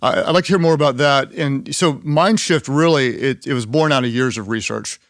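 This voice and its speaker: A man's voice: medium pitch, normal speed, a bit bright, no echo, clean studio sound. A man hosting an interview, listening closely with frequent affirming murmurs and asking thoughtful questions. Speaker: podcast host. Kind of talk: interview host.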